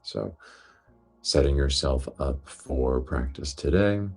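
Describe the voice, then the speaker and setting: A man guiding a breathwork meditation in a gentle, unhurried, reassuring manner. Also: extremely low pitch, creaky, breathy edge, faint music behind. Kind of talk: guided meditation instruction.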